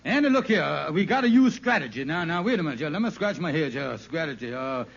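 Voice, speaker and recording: A scheming, bossy sitcom hustler with a high voice that swoops up and down. He hems and wheedles through mangled big words in the manner of vintage radio comedy. The old recording is dull and slightly noisy. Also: lively intonation, fast, creaky. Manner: hemming, wheedling, uses malapropisms. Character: scheming, bossy lodge-brother friend, a small-time schemer. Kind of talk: 1940s radio sitcom acting.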